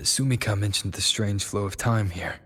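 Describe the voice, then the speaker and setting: A man's voice: on the low side, moderate pace, fairly bright tone, a little hiss or hum, lightly acted. An actor playing a thoughtful young male traveler in a video game, phrasing things a little tentatively. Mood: curious. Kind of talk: video game cutscene voice acting.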